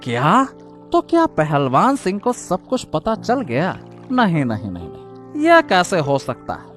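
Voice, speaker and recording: A man's voice: high voice, huge pitch swings, measured pace, a bit bright, faint music behind, exaggerated voice. A man voicing a scheming, defensive boatman in a cartoon story, flustered and exclamatory, often repeating his words. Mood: anxious scheming. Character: scheming, defensive male boatman hiding a secret. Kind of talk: Hindi moral-story cartoon dubbing.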